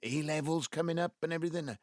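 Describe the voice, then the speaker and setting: An actor playing an ageing Welsh patriarch in an audio drama, wounded, tender and defensive, with a halting, emotive delivery. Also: male voice, on the high side, a little mellow, dry recording, clean studio sound, close to an everyday voice. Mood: tender, grateful. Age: 70s. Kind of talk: naturalistic audio drama acting.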